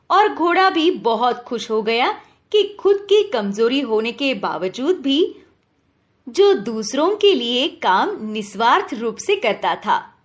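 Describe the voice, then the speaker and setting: A woman narrating a scripted children's story as the storyteller. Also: on the high side.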